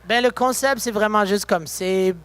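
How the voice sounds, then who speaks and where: Young male musician from Saskatchewan, high voice, easygoing and chatty. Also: normal speed, clean tone. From Saskatchewan, Canada. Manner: relaxed, chatty, full of fillers. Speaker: musician guest. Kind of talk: casual interview. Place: backstage at a concert venue.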